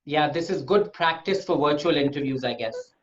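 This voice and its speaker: A man's voice: fairly high pitch, animated, normal speed. A man moderates an online info session. He thanks each speaker politely and hands over to the next. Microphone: video call. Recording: dull, lo-fi video call audio.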